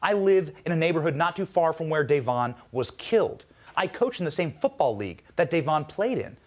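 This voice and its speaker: Animated, higher-pitched American male commentator. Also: brisk. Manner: emphatic, rhetorical. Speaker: political commentator. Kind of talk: scripted political monologue.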